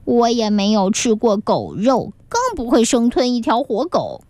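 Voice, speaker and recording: A woman's voice: a little high, big pitch swings, darkish tone, no room sound. A woman voicing a sulky, boastful little boy who takes offense easily, in a children's story. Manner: indignant, quick to take offense.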